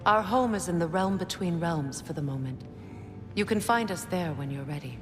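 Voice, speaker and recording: A woman plays a regal warrior goddess in a dramatic video game. The voice is notably low for a woman, composed, formal and compassionate. Faint music runs behind it. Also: natural voice. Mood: sincere, composed. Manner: measured, formal, sincere.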